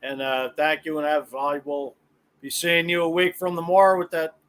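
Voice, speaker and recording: A man's voice: on the high side, flat delivery, moderate pace, fairly bright tone. An American man hosting a sports podcast in a casual, chatty style. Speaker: podcast host.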